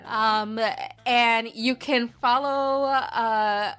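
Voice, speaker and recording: A woman's voice: on the high side, fairly even intonation, very slow, fairly bright tone. A woman co-hosting a podcast, chatting casually in a blunt, sarcastic, sweary way. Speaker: podcast co-host. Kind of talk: casual chat.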